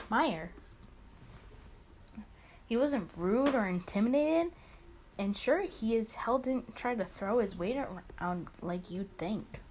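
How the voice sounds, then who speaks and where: A woman's voice: medium pitch, darkish tone, slight background noise. A woman hosting a let's play, reading a visual novel aloud expressively with casual muttered asides and small stumbles.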